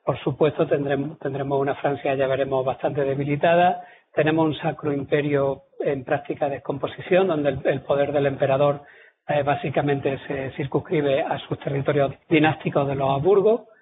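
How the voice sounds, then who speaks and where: A man's voice: a little high, fairly even intonation, moderate pace. A man with a southern Spanish accent from Córdoba giving a history lecture at an academy, talking conversationally with fillers and restarts. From Córdoba, Spain. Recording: tinny lo-fi audience recording with faint noise.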